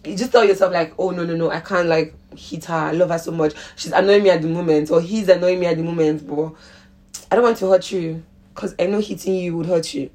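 A woman with a Nigerian accent hosting a podcast in casual, slangy, animated chat. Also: lowish voice, brisk. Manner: animated, laughs often, informal with slang. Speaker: podcast host.

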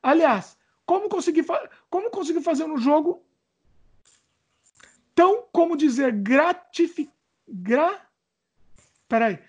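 Brazilian woman hosting, high voice, trips over words while reading questions, joking a lot. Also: expressive, slow pace, pauses often. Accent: Brazilian Portuguese. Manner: chatty, stumbles over reading, jokes about her age. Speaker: host reading viewer questions. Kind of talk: casual chat.

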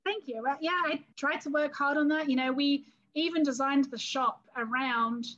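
A bright, high-pitched woman with a London-area English accent talks in an easy, chatty way and keeps acting out little quoted scenes. The recording is a bit muffled and dull. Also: moderate pace. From England, London area. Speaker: cake artist and business owner, guest. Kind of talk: casual interview talk.

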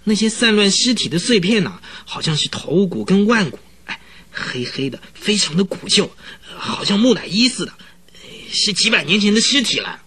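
An actor playing an agitated, talkative male client who recounts eerie events in a halting, rambling way in a radio drama, with a husky voice. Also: high-pitched, very animated, pushed and bright, heavily acted. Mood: uneasy, puzzled. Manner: rambling, halting, full of interjections. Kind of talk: Chinese radio drama acting.

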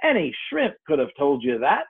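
A man's voice: on the high side, huge pitch swings, moderate pace, husky, full character voice. A man voicing a brusque, impatient male Griffin creature in a storybook reading, clipped and bossy. The sound is thin and tinny. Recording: tinny phone-like lo-fi audio.

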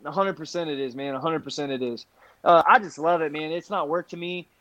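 A man's voice: on the high side, fairly quick, bright, forceful tone, no room sound. A man in his thirties who coaches and fights, chatting casually on a podcast and repeating himself emphatically.

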